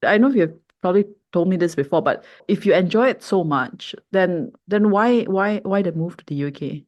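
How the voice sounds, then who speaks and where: A man's voice: high-pitched, expressive, moderate pace, lo-fi sound. A man from Malaysia co-hosting a family podcast, chatting casually and prompting his sibling with curious questions.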